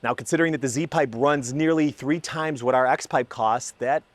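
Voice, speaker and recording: Male car-video host, medium pitch, emphatic storyteller, enthusiastic and informal in explanation. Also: moderate pace, no echo. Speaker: host of a car exhaust testing channel. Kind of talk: scripted narration and casual explainer to camera.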